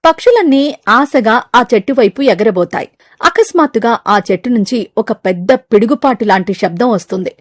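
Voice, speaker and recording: A woman's voice: fairly high pitch, lively intonation, moderate pace, no echo, clean studio sound. A woman narrating a scripted moral story for children as the storyteller.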